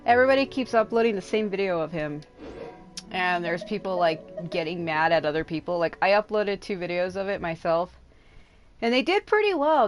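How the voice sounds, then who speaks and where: Low-pitched woman rambling on a gaming stream. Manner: rambling, venting, swears casually. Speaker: livestreamer. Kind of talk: casual gaming livestream chat.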